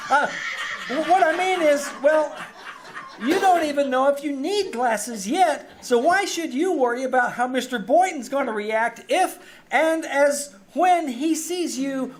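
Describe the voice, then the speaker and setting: A woman's voice: high voice, expressive, takes it slowly, background noise. A woman playing an eager, cheeky teenage schoolboy with pompous flourishes in old-time radio comedy style. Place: small town theater stage.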